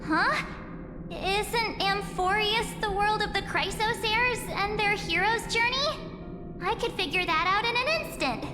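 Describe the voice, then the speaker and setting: A girlish, otherworldly game character with a high voice and an unhurried delivery. She is coaxing and composed, with a teasing edge, and her words ring out in a long reverb. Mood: bright, breezy. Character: memory entity posing as a cheerful teenage girl, coolly persuasive. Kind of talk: video game voice acting. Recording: echoey long reverb under clear music.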